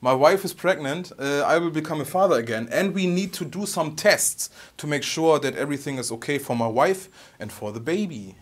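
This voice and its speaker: A man in his thirties with a heavy German accent, who grew up in Germany, talking casually and haltingly as the host of a personal Q&A video. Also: fairly high pitch, lively intonation, a little hiss or hum. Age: 30s. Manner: soft-spoken, halting, tags sentences with "okay?". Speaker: guitar channel host.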